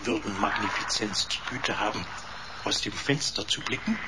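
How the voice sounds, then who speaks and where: A man's voice: fairly low pitch, normal speed, lightly acted. Guy doing a cheeky servile computer sidekick, stuffy flowery talk, male radio drama voice, noisy recording. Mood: smugly suggestive. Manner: florid, pompous, mock-servile. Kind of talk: comic radio drama acting.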